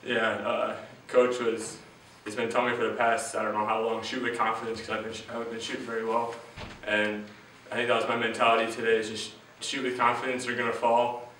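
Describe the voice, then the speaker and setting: Young guy athlete talking after the game, kinda halting, deep-ish voice, faint hiss, mic a bit far. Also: completely flat delivery, fairly bright tone. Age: young adult. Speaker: college basketball player. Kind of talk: postgame interview answers. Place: small room at a team backdrop. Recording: echoey room, distant mic, faint hiss.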